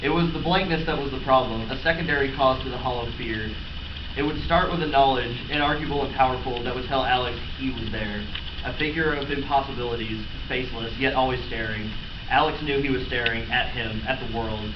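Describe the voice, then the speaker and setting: A man's voice: mid-range voice, fairly quick, far from the mic. A man reading stories aloud to a group in a playful, mock-dramatic storytelling style. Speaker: reader of fan fiction. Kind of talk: amused live read-aloud of comic stories to a group. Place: echoey room with a laughing group. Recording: noisy, echoey, muffled room recording from afar.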